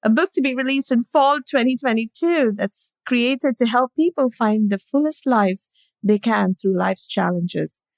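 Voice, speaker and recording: A woman's voice: fairly high pitch, no echo. A woman from New York co-hosting a podcast, giggly and enthusiastic as she reads out a guest's credits. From New York City. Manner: giggly, enthusiastic, stumbles over names. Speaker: podcast co-host. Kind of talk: casual chat, reading guest introduction.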